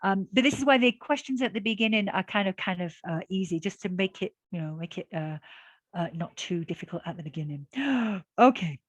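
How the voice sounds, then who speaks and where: A woman's voice: mid-range voice, animated, a little fast, no echo. A woman teaching an online exam-prep class, talking in a chatty, encouraging way with many fillers and audible breaths. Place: video call class.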